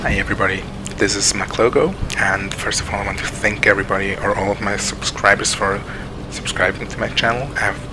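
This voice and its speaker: A man's voice: fairly low pitch, steady tone, fairly quick, background noise. A man with a Swiss accent giving casual gameplay commentary, hesitant and full of ums and restarts. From Switzerland. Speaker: gaming channel host. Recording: game sounds over the voice. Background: tank game sounds.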